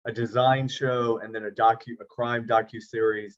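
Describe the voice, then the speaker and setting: Man, middle-aged, interview guest, chatty, joking, mid-pitched voice, dull lo-fi sound. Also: little pitch movement, normal speed. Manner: easygoing, chatty, self-deprecating jokes. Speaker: actor and producer. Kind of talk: interview guest over video call. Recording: slightly dull lo-fi audio.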